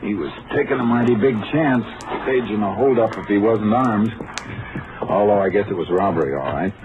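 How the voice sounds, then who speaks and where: An actor playing a calm, methodical Texas Ranger, terse and matter-of-fact, in a 1950s radio crime drama. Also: male voice, lowish voice, moderate pace, noisy background, close to an everyday voice. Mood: brisk, thoughtful. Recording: tinny 1950 radio recording.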